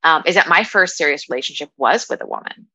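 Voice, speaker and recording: Woman, low-leaning pitch, very dry close sound, conversational interview guest, halting, thinking aloud. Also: expressive, bright, forceful tone, slightly rough, dry recording. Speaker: podcast guest, dating and relationship coach.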